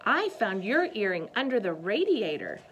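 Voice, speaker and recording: A woman's voice: medium pitch, big pitch swings, moderate pace, fairly bright tone, slight background noise. A woman voicing a determined young girl playing detective, speaking earnestly in a storybook reading. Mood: accusing.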